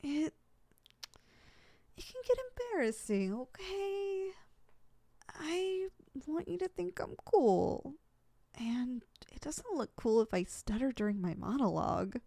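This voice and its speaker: A woman's voice: sits high, lively intonation, slow pace, gravelly, pauses often, mellow, no echo, heavily acted. A woman playing a proud but easily flustered, lovesick young supervillain in an affectionate, dramatic ASMR roleplay. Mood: flustered, embarrassed.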